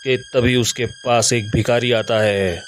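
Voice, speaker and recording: A man's voice: mid-range voice, steady tone, a little slow. A man narrating a scripted story as its narrator. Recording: loud background music.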